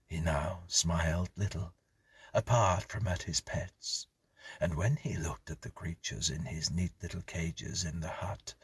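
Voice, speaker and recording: Male horror audiobook reader: extremely husky and breathy, dry intimate sound, measured and grave delivery. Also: low-pitched, a bit bright, dry recording. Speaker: narrator, story reader. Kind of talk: scripted horror story narration.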